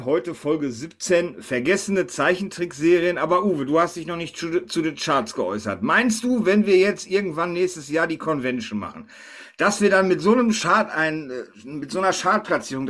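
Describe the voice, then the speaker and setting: A man's voice: on the high side, animated, moderate pace, a bit bright. A man hosting a casual podcast in a chatty, teasing way. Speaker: podcast host.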